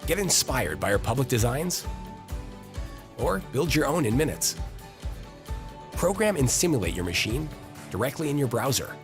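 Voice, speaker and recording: A man's voice: medium pitch, sing-song, moderate pace, long pauses. A man reading a scripted promotional voice-over for an advert. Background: music.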